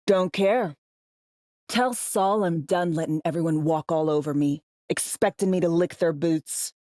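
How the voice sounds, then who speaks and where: A woman's voice: fairly low pitch, a little slow, mellow, dry recording, clean studio sound, natural voice. A woman playing a brash, foul-mouthed, world-weary female spy in a video game, talking in clipped, slangy, sardonic lines. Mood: irritated, blunt. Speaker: undercover field agent in a sci-fi game. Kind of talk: video game character dialogue.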